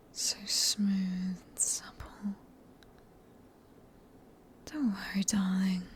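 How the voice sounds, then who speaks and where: Female performer as sadistic British vampire mistress for ASMR roleplay: breathy whisper, very unhurried, menacingly tender, faint background noise. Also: medium pitch. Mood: seductive, hungry. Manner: slow, teasing, condescending endearments.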